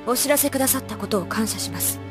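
A woman playing a dutiful schoolgirl shrine maiden, polite and hushed, in an anime audio drama. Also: fairly high pitch, lively intonation, fast talker, music in the background, natural voice. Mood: subdued, serious.

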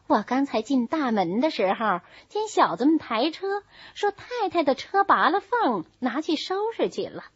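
A woman reading a novel voices a shrewd, flattering young married lady of a rich household in an animated, calculating manner. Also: high-pitched, moderate pace, hardly any pauses, no room sound, muffled recording. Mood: smooth persuasion. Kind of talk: storybook character reading.

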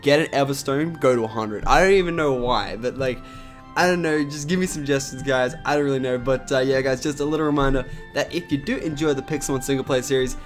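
Young Australian guy commentating a game, raspy morning voice, rambling and chatty, faint guitar music behind. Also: mid-range voice. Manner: chatty, rambling with many "like" and "um" fillers. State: raspy from just waking up. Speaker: gaming YouTuber. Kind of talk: casual gameplay commentary.

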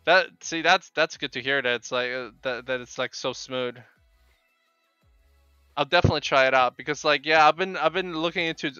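Casting: Polish-accented man, game streamer, halting chatty delivery, dry but dull lo-fi sound, music behind. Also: a little high, pushed and bright, clear, resonant tone, no room sound. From Poland. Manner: halting, repeats words. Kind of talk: casual live chat with viewers while gaming. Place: small home room with bookshelves.

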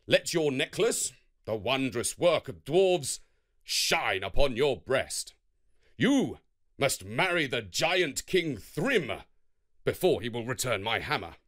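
A man voicing a brutish, bossy thunder god in a children's storybook, forceful and commanding. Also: medium pitch, very animated, slow, exaggerated voice.

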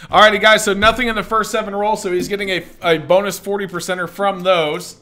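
A young man with a hoarse, scratchy voice, chatting upbeat and casually as a livestream host while greeting viewers. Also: sits high, fairly even intonation, brisk, pushed and bright. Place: indoors at a desk.

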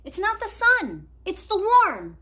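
A woman's voice: extremely high pitch, huge pitch swings, takes it slowly, sharp tone, voice pushed up, exaggerated voice. A woman voicing an earnest young boy from a storybook who explains himself emphatically. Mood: exasperated, earnest.

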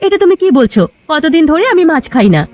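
A woman's voice: high voice, very animated, fast talker, darkish tone, soft background music. A woman voicing a sulky, nagging village wife in a cartoon folk tale, scolding and pouting. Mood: sulky, demanding.

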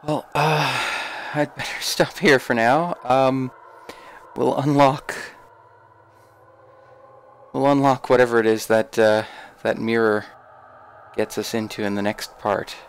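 A man's voice: medium pitch, steady tone, slow pace, pauses often, slight background noise. A man hosting a let's play, giving casual, hesitant, informal game commentary as if talking to himself. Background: horror game audio.

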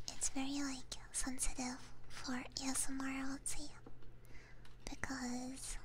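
A woman whispering softly and gently into a close binaural microphone, chatting casually with stream viewers as an ASMR host. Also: high voice, noisy background. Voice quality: breathy whispering.

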